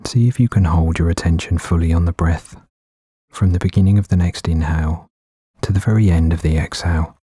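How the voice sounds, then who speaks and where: A man gently guiding a meditation in a soothing, unhurried way with long pauses between phrases. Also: sits low, husky, dry recording, studio quality. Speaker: meditation guide. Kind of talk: guided meditation narration. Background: background music.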